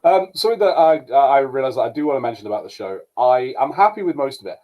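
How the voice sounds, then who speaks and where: A man's voice: mid-range voice, animated, quick pace, muffled recording. A man talking as a podcast co-host in a casual review chat, hesitant and colloquial as he circles back to correct himself.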